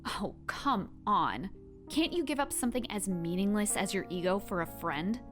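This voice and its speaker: A woman playing a guarded, softhearted young woman with superpowers in an audio drama, in a conversational, emotionally open manner. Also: mid-range voice, animated, normal speed, music in the background, natural voice. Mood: exasperated.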